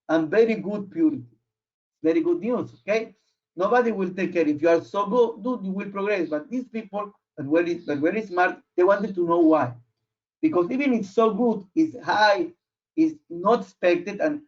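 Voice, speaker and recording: A man who is not a native English speaker, giving an explanatory technical presentation in a halting way, searching for words. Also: sits high. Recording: muffled, dull online audio.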